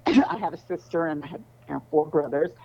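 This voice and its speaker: A middle-aged woman from New City, a lawyer chatting casually as an interview guest, relaxed with many ums and laughs. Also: low voice, quick pace, lo-fi sound, a crowd in the background. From New York City.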